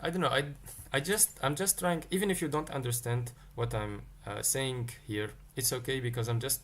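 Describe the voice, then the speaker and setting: A man's voice: mid-range voice, moderate pace, fairly bright tone, a little hiss or hum. A man leads a live-streamed coding lesson in a mid-range voice, thinking aloud as he goes. He stops to pick up viewers' questions from the chat. Faint keyboard typing can be heard behind him. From Tunisia. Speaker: livestream tech teacher.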